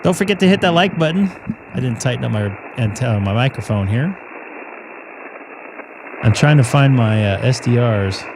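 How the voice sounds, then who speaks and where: A man hosting a ham radio live stream, chatting loosely with lots of fillers as his voice begins to wear and go hoarse. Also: mid-range voice, expressive, normal speed, pauses often. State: voice getting worn, starting to go hoarse. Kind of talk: casual chat to a live stream audience. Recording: noisy background hum.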